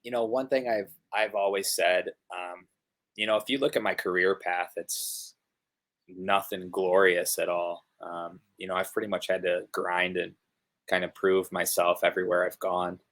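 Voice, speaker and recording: A young man with a Northern US accent, a hockey player chatting casually on a video call, relaxed and rambling with many fillers. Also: normal speed. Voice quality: gravelly low voice. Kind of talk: casual interview chat. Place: home room, video call.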